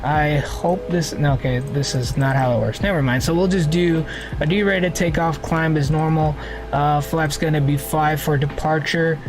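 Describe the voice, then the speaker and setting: A man's voice: a little high, fairly even intonation, moderate pace, poor audio. A man hosting a flight simulator live stream in a casual, chatty way, thinking aloud as he sets up the cockpit and greeting his viewers. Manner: greets and thanks viewers warmly. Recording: music playing under the voice.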